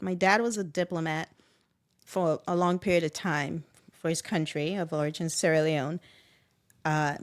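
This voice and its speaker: A middle-aged woman speaking reflectively and personally in conversation, with animated, expressive asides. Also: lowish voice. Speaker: guest.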